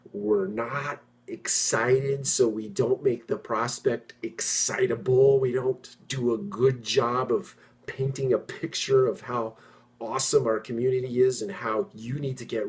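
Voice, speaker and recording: Middle-aged male executive host, informal solo talk to peers, emphatic delivery with repeated phrases and mid-sentence pauses. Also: mid-range voice, darkish tone. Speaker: property management executive and host. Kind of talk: informal monologue addressed to industry peers.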